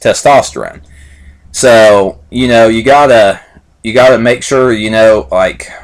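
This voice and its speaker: Rambling man in his late twenties. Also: lowish voice. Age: 20s. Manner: loose and repetitive, lots of fillers and "like I said". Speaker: vlogger reviewing supplements. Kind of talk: casual rambling talk to camera.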